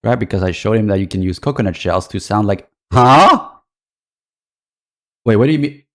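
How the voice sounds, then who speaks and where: A man's voice: fairly low pitch, lively intonation, normal speed, fairly bright tone. A man streaming a reaction to videos, talking casually, swearing and thinking aloud.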